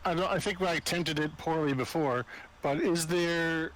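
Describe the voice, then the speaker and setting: Man gamer with somewhat high voice. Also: a little slow, a bit bright. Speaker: tabletop game player. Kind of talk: casual game-table chat. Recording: faintly noisy room recording.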